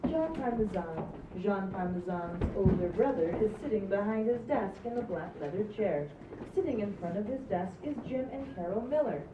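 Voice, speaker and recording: A woman's voice: mid-range voice, animated, normal speed. A woman reading script stage directions aloud at a live table read. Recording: roomy, distant, muffled, very noisy live recording.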